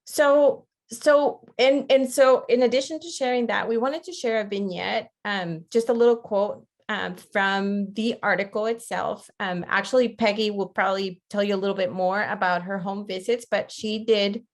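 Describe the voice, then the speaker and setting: A woman's voice: mid-range voice, fairly even intonation, moderate pace, few pauses. Female webinar presenter, middle-register voice; conversational educator who keeps checking in with listeners. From Costa Rica. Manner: conversational, checks in often with "right?". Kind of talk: interactive online lecture.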